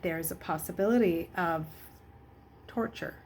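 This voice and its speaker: A grave man of authority from a novel, read out by a woman. He is grim and measured in his delivery. The recording has noise in the background. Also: on the low side, darkish tone, natural voice. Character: grim, commanding adult man, serious ally. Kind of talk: children's novel read aloud.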